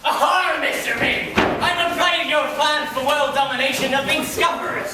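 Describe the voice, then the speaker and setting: Earnest pantomime lieutenant played by a male, very high theatrical voice, formal and declamatory, distant in an echoey hall. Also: normal speed, very noisy. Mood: bold. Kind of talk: amateur school pantomime stage acting. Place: large school hall stage. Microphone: distant camera microphone.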